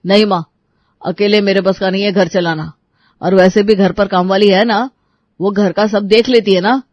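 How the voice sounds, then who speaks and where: A man's voice: sits high, fairly even intonation, normal speed, no echo, close to an everyday voice. A man voicing a placating, reasonable family man in a dramatised household story, on a slightly muffled recording. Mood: explaining. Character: reasonable, pleading men of an Indian household.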